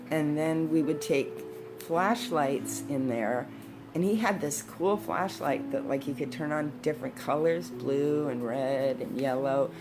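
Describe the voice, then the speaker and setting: Low-voiced woman in her sixties reminiscing. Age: 60s. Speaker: storyteller, video host. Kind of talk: casual personal reminiscing. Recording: background guitar music. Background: instrumental guitar music.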